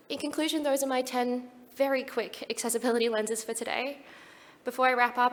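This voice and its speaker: A woman's voice: fairly high pitch, long reverb tail. A young Australian woman giving an earnest, prepared talk at a conference. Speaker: conference speaker.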